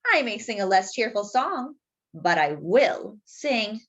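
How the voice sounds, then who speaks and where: A woman's voice: medium pitch, big pitch swings, slow pace, highs cut off. A woman voicing a merry, stubborn little rooster in a sing-song, crowing manner for a storybook.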